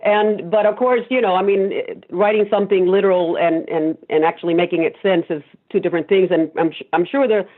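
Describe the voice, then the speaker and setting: A woman's voice: fairly low pitch, steady tone, a little fast, hardly any pauses. Cuban woman on a tinny phone line. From Cuba. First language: Spanish. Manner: chatty, rambling anecdotes with frequent laughs. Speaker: guest singer-songwriter. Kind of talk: casual interview chat.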